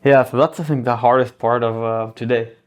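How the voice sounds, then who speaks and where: A man in his thirties from Israel with a Hebrew accent, a guest chatting casually in an interview, relaxed and full of fillers and restarts. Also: medium pitch, brisk.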